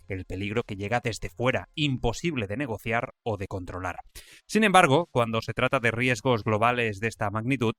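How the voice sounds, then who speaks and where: A man with a Spanish accent from Spain, a podcast host reading a scripted science explainer in a measured, didactic way. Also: lowish voice, a bit bright, no echo.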